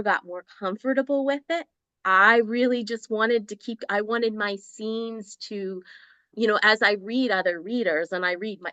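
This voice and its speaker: A woman's voice: medium pitch, sharp tone, no echo, highs cut off. A woman in her fifties, a romance author talking casually and enthusiastically in an interview, laughing now and then and restarting her sentences.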